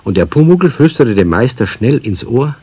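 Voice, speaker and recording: A man narrating a children's radio play as a wry storyteller, measured. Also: medium pitch, big pitch swings, dark tone, breathy edge, dry recording.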